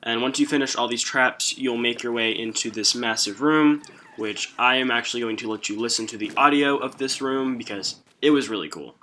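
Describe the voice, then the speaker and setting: A man hosts a video game walkthrough in a bright, forward voice. His delivery is informal and a little halting, explaining things step by step in casual commentary. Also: medium pitch, a little hiss or hum. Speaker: game guide video host.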